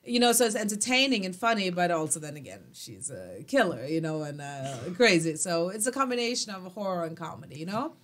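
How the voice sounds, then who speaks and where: A woman's voice: lowish voice, brisk, hardly any pauses. A woman who is not a native English speaker, a guest filmmaker chatting animatedly in a small radio studio on a desk mic. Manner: animated, filler-heavy, emphatic. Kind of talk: casual interview chat.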